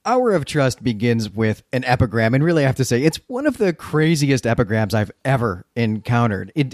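A male podcast co-host with a mid-pitched voice and a close, dry sound. He talks through books in articulate, measured sentences, as in a literary discussion. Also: darkish tone, no echo.

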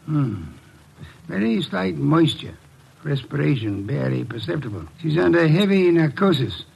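An actor playing a shrewd, self-assured detective in 1940s radio style, speaking in a pompous, precise, deliberate way. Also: male voice, a little high, sing-song, gravelly, a little mellow, breathy edge, muffled recording, heavily acted. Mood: commanding, deductive. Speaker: lead detective. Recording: vintage radio drama recording.

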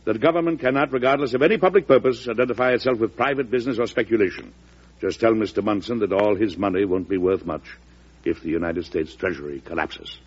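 An actor playing a resolute, worried U.S. President in an emphatic, oratorical old-time radio drama style. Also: male voice, on the low side, fast talker, noisy background, lightly acted. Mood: firm. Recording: muffled old radio recording.

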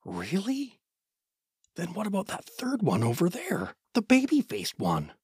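Man as a husky, animated, flustered adventurer. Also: high voice, a little slow, dry recording, clean studio sound, full character voice. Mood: curious, awkward. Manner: folksy, flustered. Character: hapless, excitable, polite male everyman adventurer. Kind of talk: fantasy audio drama acting.

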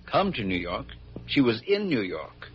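A man's voice: medium pitch, sing-song, a little slow. An actor playing a wealthy, middle-aged father in a 1940s radio drama, formal, courteous and faintly hesitant. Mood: formal, concerned. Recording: muffled, noisy vintage radio recording.

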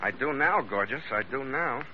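An actor playing a tough, wry ship's skipper, hero of an old-time radio adventure, with a terse, cool manner. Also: male voice, medium pitch, expressive, normal speed, a little hiss or hum, close to an everyday voice. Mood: urgent. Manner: hard-boiled, terse, cool. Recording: muffled vintage radio recording with music.

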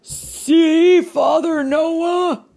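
A woman's voice: high-pitched, lively intonation, slow pace, gravelly, darkish tone, voice pushed up, full character voice. A woman voicing a huge, boastful male giant who whines about hunger in a storybook reading. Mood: gleeful. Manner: whining, then gleeful.

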